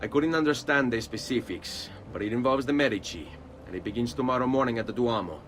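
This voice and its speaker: A man's voice: medium pitch, fast, fairly bright tone, slight background noise, close to an everyday voice. A man playing a determined young Italian nobleman in a video game, speaking with an Italian accent. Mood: urgent. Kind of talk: video game cutscene acting.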